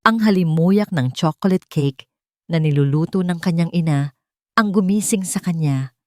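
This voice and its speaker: A woman with a Filipino accent narrating a scripted love story in a measured, sentimental way. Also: lowish voice, a little mellow, dry recording, clean studio sound. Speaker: narrator of audio love stories.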